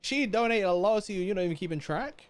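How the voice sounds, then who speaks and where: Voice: mid-range voice, expressive, fast talker, slight background noise. A game streamer reacting live to a playthrough in a playful, joking way, talking back to the game. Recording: game music behind the voice. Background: video game music.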